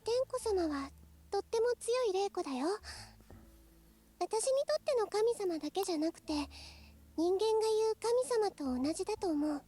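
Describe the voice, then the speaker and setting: Childlike fox-spirit girl, very high, lively swoops, sweet, earnest, visual novel game, faint music. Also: fast. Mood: wistful, earnest. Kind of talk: Japanese visual novel voice acting.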